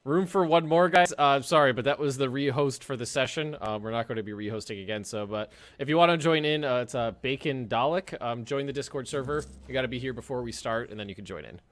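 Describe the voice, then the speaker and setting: A man's voice: mid-range voice, fast, few pauses. An American man hosting a multiplayer strategy game session, chatting casually and laughing with the players. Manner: laughing, chatty, organizing the group. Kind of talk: casual group chat over voice call.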